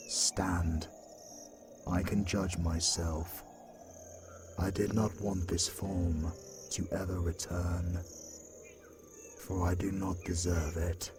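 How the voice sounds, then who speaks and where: A man acting a weary, remorseful ancient god in a fantasy audio drama, speaking solemnly and gravely. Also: deep voice. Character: weary, remorseful ancient male creator god turned demon hunter.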